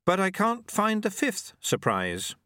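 A nervous young novice monk, as a male narrator puts him on, earnest and deferential. His pitch is raised and lively, and the tone is bright. He speaks hesitantly and with awe, as a storybook character. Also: measured pace, no room sound, clean studio sound, full character voice. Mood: earnest, respectful.

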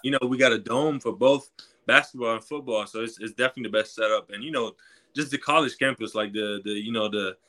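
Fast-talking young man. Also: medium pitch. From Quebec, Canada. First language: French. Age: 20s. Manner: relaxed, many fillers like "you know". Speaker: guest, college football player. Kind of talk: casual interview talk.

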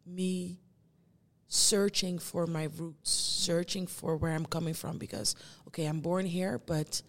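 A young woman from the Netherlands, a guest performing artist, speaking reflectively and searching for her words with many pauses. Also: on the low side, flat delivery, long reverb tail. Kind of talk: reflective conversation.

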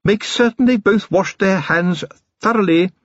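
A man voicing a genteel, forceful middle-aged aristocratic wife in an audiobook, emphatic and polite, briskly affectionate. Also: high voice, dry recording, highs cut off, voice pushed up. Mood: brisk, bossy. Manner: emphatic, polite, upper-class phrasing. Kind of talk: audiobook character voice.

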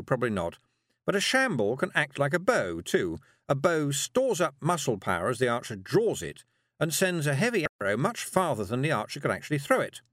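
A brisk, prim witch, voiced by a male narrator, speaks in a raised, flustered voice that swoops up and down. She keeps insisting she is calm while sounding on edge. The sound is dry and close, with no room around it. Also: on the high side, no echo, voice pushed up. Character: fussy nervous brisk woman witch. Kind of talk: audiobook character voice.

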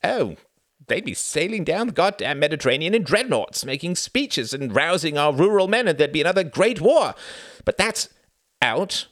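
Guy doing a frazzled old politician, high and whiny, snappy, dead dry sound. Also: big pitch swings, normal speed, a bit bright, dry recording. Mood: exasperated. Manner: moaning, high-strung, snappish. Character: weary, exasperated older male politician.

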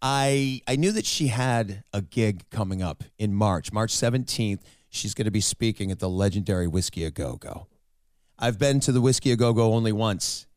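A man hosting an interview show, chatty and self-deprecating, his voice raspy from a cold. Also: fairly low pitch, a little mellow, no echo, clean studio sound. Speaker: host. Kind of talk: casual interview and narration.

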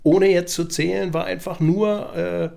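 A man with a Berlin accent talks as a guest in a casual chat. His voice is fairly high for a man, with plenty of creak. He reminisces in loose, colloquial speech. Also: steady tone, a little slow, warm tone. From Berlin, Germany. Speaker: podcast guest.

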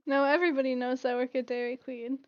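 Young woman guest, high-pitched and soft-spoken, dry quips, slightly dull lo-fi mic. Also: steady tone, quick pace, clean tone, dry recording. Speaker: podcast guest. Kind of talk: casual chat.

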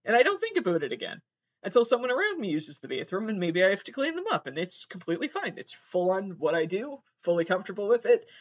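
High-voiced North American man, lo-fi podcast. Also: very animated, fast talker. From Massachusetts, United States. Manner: self-deprecating, profane, digressive. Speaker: podcast host. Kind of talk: casual comedic solo rambling. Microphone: built-in laptop microphone. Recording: dull lo-fi laptop microphone.

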